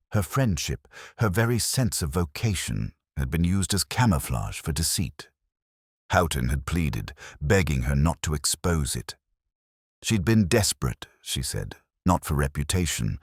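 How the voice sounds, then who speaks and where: A man narrating a literary detective mystery in the first person, in a measured and solemn way. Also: a bass voice, no room sound, clean studio sound.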